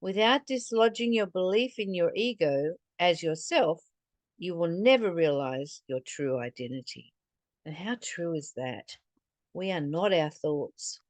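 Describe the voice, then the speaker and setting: A woman with a lowish voice reads and reflects on spiritual teachings. She takes her time, speaking deliberately and earnestly as she addresses the listener. The sound is dry, with very little room in it. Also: lively intonation, no echo. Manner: deliberate, earnest, posing rhetorical questions to the listener. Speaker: spiritual teacher reading and commenting on a text. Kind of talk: reflective spiritual reading with commentary.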